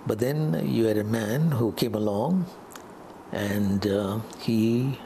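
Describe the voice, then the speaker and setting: An older man giving measured, halting explanations as an interviewed political analyst. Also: fairly low pitch, creaky, warm tone, a little hiss or hum. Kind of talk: unscripted interview commentary.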